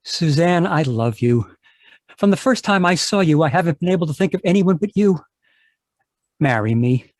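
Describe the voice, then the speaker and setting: A man's voice: on the high side, expressive, moderate pace, a little mellow, no echo, natural voice. A man playing an earnest, pleading young gold prospector in love, in old-time radio drama style. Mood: pleading, smitten.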